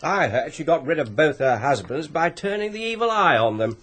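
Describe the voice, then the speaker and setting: A man's voice: on the high side, lively intonation, normal speed, a bit bright. An actor playing a chatty English local man who enjoys gossip, in a radio drama. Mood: gossipy. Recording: faint car hum and noise.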